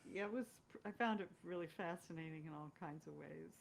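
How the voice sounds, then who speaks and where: A woman's voice: low voice, fairly quick, vocal fry. A woman chatting casually as a guest, speaking thoughtfully and in short responses. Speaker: guest, stage and screen actress. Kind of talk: casual chat.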